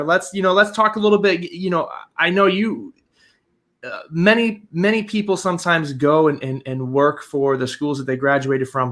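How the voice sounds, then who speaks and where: A man's voice: on the high side, a bit bright. A man hosting an interview, asking long rambling questions with many restarts. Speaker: podcast host.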